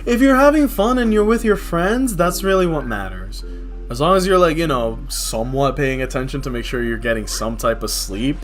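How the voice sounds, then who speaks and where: A man's voice: on the high side, moderate pace. New man chatting on stream, music behind. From New York City. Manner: slangy, conversational, candid. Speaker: game livestreamer. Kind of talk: casual livestream chat.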